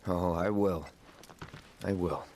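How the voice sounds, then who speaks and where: Terse young soldier, slow-paced. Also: male voice, sits low, fairly even intonation, darkish tone. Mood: grim, subdued. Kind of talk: video game cutscene acting.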